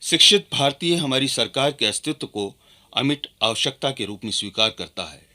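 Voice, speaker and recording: A firm colonial-era gentleman in a radio drama. He declaims emphatically, with a very bright, tense edge at an ordinary male pitch. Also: expressive, normal speed. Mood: emphatic warning. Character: firm, emphatic British sympathizer, male official of colonial India.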